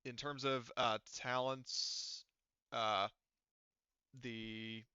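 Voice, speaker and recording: A man speaking casually as game master at an online tabletop game, thinking aloud with halting delivery. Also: mid-range voice, flat delivery, bright, forceful tone, smooth, no room sound, lo-fi sound.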